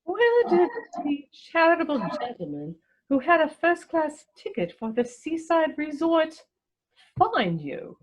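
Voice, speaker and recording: A woman playing a haughty, snobbish older aristocratic lady in a comic play reading, imperious and pompous, with deliberate enunciation. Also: high-pitched, sing-song, poor audio. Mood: scandalized, haughty.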